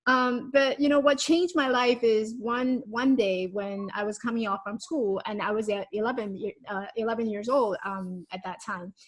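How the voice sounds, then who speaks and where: A woman's voice: a little high, fairly even intonation, moderate pace, hardly any pauses, poor audio. A woman from Taiwan, a confidence coach, telling a personal story as a podcast guest in a casual, conversational way with frequent "like" and "you know".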